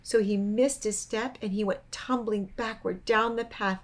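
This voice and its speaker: Female storyteller for a kids' program, expressive read-aloud delivery, mid-range pitch. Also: measured pace. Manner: expressive, child-directed storytelling with asides. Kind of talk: reading a picture book aloud to children.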